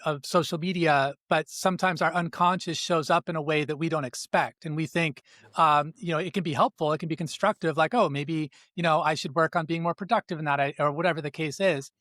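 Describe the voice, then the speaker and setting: A man's voice: a little high, fairly even intonation, a little fast, fairly bright tone, no room sound, studio quality. A man hosting a podcast, asking curious, rambling questions with many fillers. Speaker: podcast host. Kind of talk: casual podcast interview.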